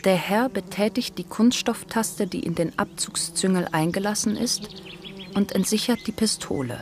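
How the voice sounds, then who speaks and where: A woman's voice: mid-range voice, moderate pace, darkish tone, slight background noise, close to an everyday voice. A woman acting as the narrator of a radio play, formal and matter-of-fact as she reports on her master. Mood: formal, factual.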